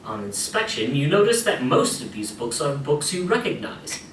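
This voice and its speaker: Echoey, distant male narrator with lively intonation. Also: fairly high pitch, moderate pace. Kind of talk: scripted second-person game narration. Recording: echoey room playback, distant, faint noise.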